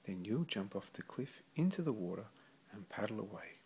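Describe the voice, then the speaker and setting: A man voicing a kindly, encouraging adult female puffin parent in a storybook, gentle and reassuring. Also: fairly low pitch, big pitch swings, moderate pace. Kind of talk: storybook character voice.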